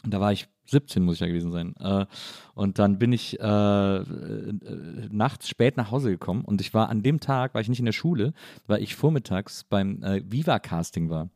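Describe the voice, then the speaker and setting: A man's voice: fairly low pitch, normal speed, darkish tone, no room sound, studio quality. A middle-aged man from near Cologne hosting a podcast, telling stories in a chatty way and laughing often. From Germany, near Cologne. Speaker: podcast host. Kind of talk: casual conversation. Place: living room.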